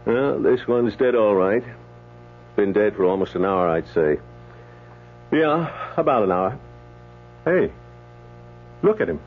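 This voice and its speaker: Radio play doctor: blunt, chatty, exclamatory, very lively intonation, muffled hummy old recording. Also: male voice, lowish voice, takes it slowly, long pauses. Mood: puzzled. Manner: folksy, exclamatory, storytelling. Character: blunt, chatty male doctor telling a tall tale. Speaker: actor playing a doctor. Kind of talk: radio drama acting. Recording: dull old radio recording, faint hum.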